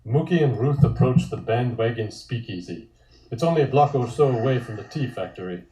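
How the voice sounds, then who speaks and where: A man's voice: medium pitch, expressive, a little fast, dark tone. A man reading a comic script aloud as narrator. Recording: echoey room.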